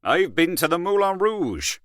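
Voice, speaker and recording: A man voicing a boastful, worldly Irish male journalist who talks loud and swaggering. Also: sits high, big pitch swings, unhurried, no room sound, clean studio sound, voice pushed up, exaggerated voice.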